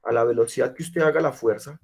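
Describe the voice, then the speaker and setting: A man's voice: mid-range voice, fast, vocal fry, breathy edge. A man teaching a physical education class while he has a cold, explaining step by step and checking in with his students. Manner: explanatory, many rhetorical checks. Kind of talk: online class lecture.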